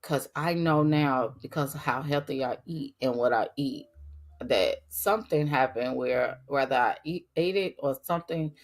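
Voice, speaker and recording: A middle-aged woman with a voice gone raspy from a cold, hosting a faith-based podcast in an earnest, emphatic way and talking straight to her listeners. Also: extremely low pitch, normal speed. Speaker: podcast host.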